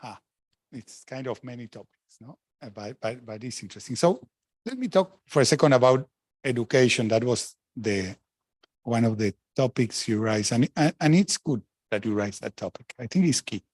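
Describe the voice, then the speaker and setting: A middle-aged man from Argentina, speaking as a former central banker on a discussion panel. He talks frankly and emphatically, breaks off to restart his sentences, and throws in asides. His voice sits at a medium pitch, and the recording is dry and close. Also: animated, normal speed, darkish tone, no room sound. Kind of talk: panel talk answering questions.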